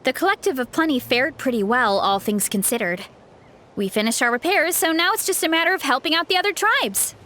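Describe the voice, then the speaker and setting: A woman's voice: high voice, expressive, fairly quick, sharp tone. An actress playing a cheerful, modest young woman warrior in an anime-style video game, with an earnest, bubbly delivery. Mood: modest, easygoing. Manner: earnest, bubbly, self-effacing.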